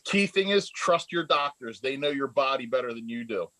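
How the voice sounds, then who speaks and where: Bright-voiced joking podcast host. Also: male voice, medium pitch, lively intonation, low-quality recording. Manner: quick jokey asides, talks over others. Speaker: podcast co-host practicing as advice host. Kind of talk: casual podcast banter.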